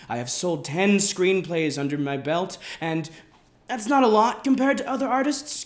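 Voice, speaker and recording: A man performing a melodramatic reading as a self-important, deluded amateur screenwriter, with pompous, overwrought delivery and dramatic pauses. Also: fairly high pitch, lightly acted. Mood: fervent, boastful. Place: indoors in a wood-panelled room.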